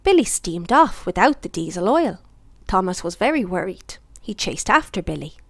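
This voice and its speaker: A woman reading a children's bedtime story aloud in an expressive storytelling style. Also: lowish voice, moderate pace, dry recording.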